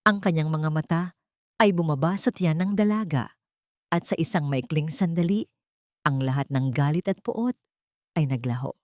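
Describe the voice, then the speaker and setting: A woman with a Filipino accent narrating a scripted romantic drama in an emotive, deliberate storytelling manner. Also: deep voice, a little mellow, no room sound.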